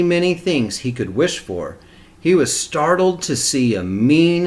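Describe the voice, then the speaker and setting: A man reading a picture book aloud to young children, expressively and with deliberate phrasing. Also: mid-range voice. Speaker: storybook reader for kindergarten children. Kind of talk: read-aloud storytelling with short asides.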